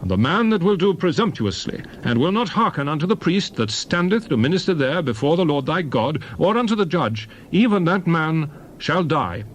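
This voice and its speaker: A solemn male prophet, acted by a man, proclaims sacred law in a dramatized Bible reading. His phrasing is deliberate and declamatory, with lively rises and falls in pitch. A light layer of noise sits behind the voice. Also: rough recording. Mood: solemn, commanding.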